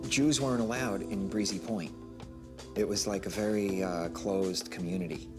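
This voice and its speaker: An older man from New York looks back on his youth in an interview. He speaks conversationally in a voice a little lower than average. Instrumental music is clearly audible behind him. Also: fairly even intonation, normal speed.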